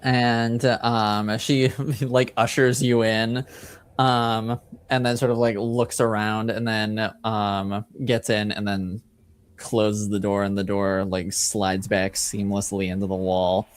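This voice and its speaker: Male game master, improvised tabletop narration, smooth clean tone, slow hesitant delivery padded with fillers. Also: lowish voice, little pitch movement. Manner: hesitant, halting, many filler words.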